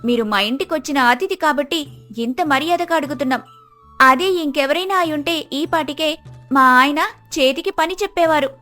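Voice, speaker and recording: Woman acting a sharp-tongued, high-voiced village wife. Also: moderate pace, faint music behind. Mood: firm, scolding. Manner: sarcastic, pointed.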